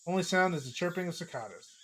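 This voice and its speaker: Hoarse man narrating a game stream. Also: sits high, expressive, fast, bright, forceful tone, a little hiss or hum. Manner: casual, chatty, reading aloud with small slips. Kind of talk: narrating a visual novel live.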